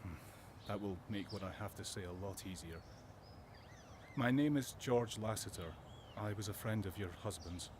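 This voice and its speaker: A man's voice: fairly low pitch, normal speed, noisy background, natural voice. A man playing a wounded, weary British First World War soldier in a radio drama, subdued and plain-spoken. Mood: gentle, respectful. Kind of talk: student radio drama acting and reflective narration.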